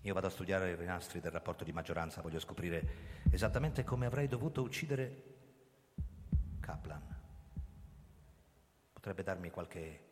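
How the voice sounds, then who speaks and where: An actor playing an embattled, commanding police commissioner in a radio drama, theatrical and sardonic, worldly-wise in manner. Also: male voice, deep voice, moderate pace, pauses often, slight background noise, natural voice. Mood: resigned, advising. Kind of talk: radio drama acting and first-person narration. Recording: reverberant stage sound, loud music behind. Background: music.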